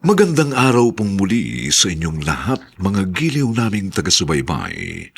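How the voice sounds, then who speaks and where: A man's voice: deep voice, sing-song, normal speed, breathy edge. A man with a Filipino accent announcing a radio drama in a solemn, theatrical, scripted style.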